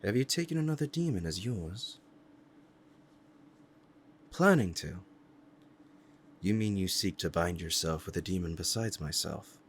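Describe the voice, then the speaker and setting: A man's voice: low-pitched, animated, a little slow, long pauses, a little hiss or hum. A man playing a powerful, proud demon devoted to a master, speaking in a formal, courtly and menacing way in an ASMR roleplay drama. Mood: uneasy, questioning.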